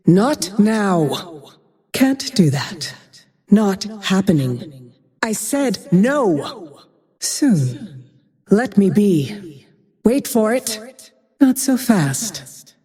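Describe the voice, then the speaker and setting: An actress playing a cold, bitter, vengeful female archer in a video game, breathy and husky, with a grim, disdainful, deliberate delivery. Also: medium pitch, dark tone, exaggerated voice. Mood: curt, impatient. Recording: long cavernous reverb.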